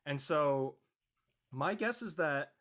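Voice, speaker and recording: A man's voice: fairly high pitch, expressive, slow, fairly bright tone. A man speaking casually as a panelist in a post-show discussion. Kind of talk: casual chat.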